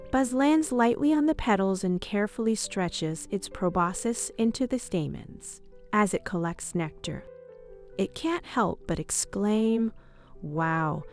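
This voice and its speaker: A woman's voice: mid-range voice, darkish tone. Woman narrating a children's story over music.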